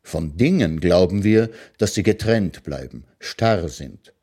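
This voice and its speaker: A man reading his own philosophical short prose aloud in a calm, measured way, as a radio literary reading. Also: low voice.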